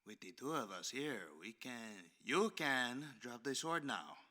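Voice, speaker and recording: Theatrical Spanish-accented masked swashbuckler, bright-voiced. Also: male voice, medium pitch, animated, unhurried. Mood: cocky. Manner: theatrical, bantering. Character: swashbuckling masked Spanish vigilante, cocky, flirtatious, easily flustered man. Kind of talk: ASMR roleplay audio drama.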